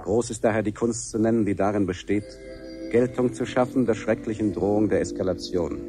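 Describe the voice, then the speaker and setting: A solemn male reciter , voiced by an actor, declaims in a radio play. His voice is fairly low, with music clearly playing behind it. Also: brisk, lightly acted.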